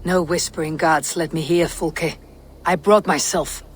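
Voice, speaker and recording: A woman's voice: deep voice, fairly even intonation, a little slow, slight background noise. Blunt, skeptical Viking warrior woman. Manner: blunt, challenging. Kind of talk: dramatic video game acting.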